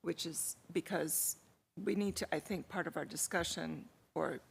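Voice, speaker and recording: A woman commissioner speaking at a city meeting in a measured, deliberate way. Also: low voice, vocal fry, husky. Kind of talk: public meeting discussion. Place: council chamber.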